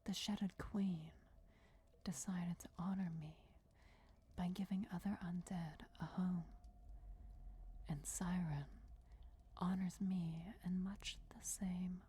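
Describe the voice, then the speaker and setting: A woman voicing an ancient, regal and patient vampire deity in a soft, breathy whisper, formal and deliberate, for a fantasy ASMR roleplay. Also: fairly low pitch, fairly even intonation, exaggerated voice. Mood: solemn, patient. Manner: slow, deliberate, formal, measured. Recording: clear background noise, crypt ambience. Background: crypt ambience.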